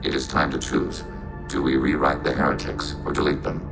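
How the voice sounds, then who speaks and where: A very low, gravelly game robot, logical and calm. It speaks in measured, formal, clipped phrases through a synthetic filter, with music behind it. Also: male voice. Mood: detached, logical. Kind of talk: video game acting.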